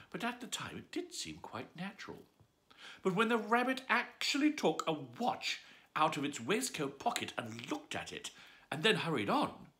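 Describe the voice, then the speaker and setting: A man reads a children's book aloud as a bedtime story, with playful theatrical flair. His voice is bright and forward. His pitch leaps high and low all through the telling. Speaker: storyteller host.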